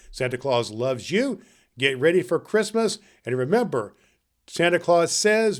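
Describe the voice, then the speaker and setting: Guy doing a kindly old santa, jolly and grandpa-like, folksy podcast host talking to kids. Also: fairly high pitch. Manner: folksy, halting, addresses children. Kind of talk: story reading and chat to children.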